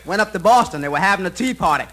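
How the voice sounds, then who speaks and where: Very high-voiced wisecracking waiter. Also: male voice, expressive, very fast. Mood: deadpan joking. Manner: dry comeback delivery. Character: wisecracking, put-upon waiter, droll and unimpressed. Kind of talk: 1940s radio comedy acting. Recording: heavily crackling old radio transcription.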